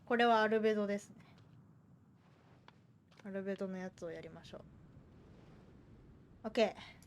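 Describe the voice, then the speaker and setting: A woman's voice: mid-range voice, quick pace, slight background noise. A woman livestreaming a game, chatting casually and reacting aloud as she plays. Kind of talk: casual game stream chat. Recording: game music behind. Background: video game music.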